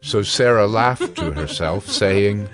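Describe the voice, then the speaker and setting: A male narrator reads scripture in a formal, measured way. His voice sits a little low, and soft music runs faintly behind him.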